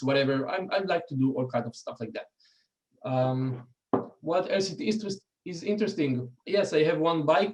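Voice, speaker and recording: A middle-aged man with an Israeli accent, giving an informal talk with slides in a halting way, with many pauses and restarts. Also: a little high, a little mellow, poor audio. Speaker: guest speaker.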